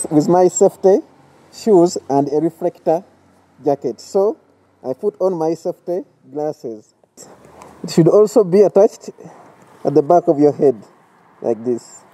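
A man's voice: on the high side, mellow, low-quality recording. A man in his thirties with a Ugandan accent, teaching a soap-making safety class outdoors and explaining each step. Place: outdoors by a metal gate.

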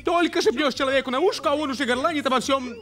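A man's voice: very high-pitched, very fast, over music. A man voicing a touchy, gossipy hairless cat in a cartoon dub. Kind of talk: cartoon dubbing.

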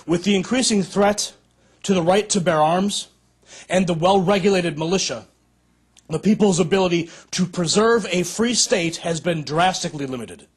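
Solemn American man, husky, higher-pitched voice. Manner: solemn, declamatory, measured. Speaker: organization director giving an address. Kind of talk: formal scripted political speech. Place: indoor curtained room, at a podium.

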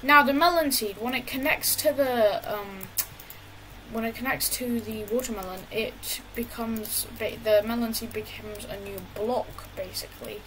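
Halting, high-voiced woman explaining a build. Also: a bit bright, low-quality recording. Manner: halting, many fillers and restarts. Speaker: gaming tutorial creator. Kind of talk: casual walkthrough over gameplay.

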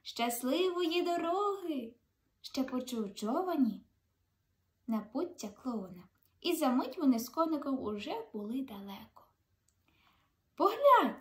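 A woman's voice: on the high side, very animated, normal speed, a little mellow. A young woman reading a children's fairy tale aloud expressively, as a storyteller. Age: young adult. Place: indoors in a room, seated.

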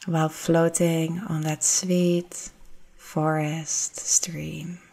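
A woman guides a meditation in a low voice. Her delivery is very slow and soothing, with long pauses between phrases. The intonation stays gentle and flat, never hurried. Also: creaky, slightly rough.

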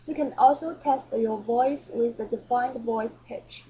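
Woman doing scripted tutorial voiceover, highish mellow voice, bit of background noise. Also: fairly even intonation, unhurried, low-quality recording. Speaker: tutorial narrator. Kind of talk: scripted software tutorial narration.

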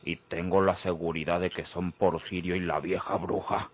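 An actor playing a menacing, suspicious boss with a gravelly, husky voice and a rural northern Mexican accent in an old radio serial. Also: male voice, low-pitched, little pitch movement, normal speed. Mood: tense, plotting.

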